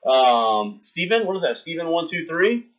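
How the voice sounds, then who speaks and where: A man in his thirties streaming live, telling a long gross-out story with animated delivery and acting out the scenes for his chat. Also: on the high side, moderate pace, dull audio.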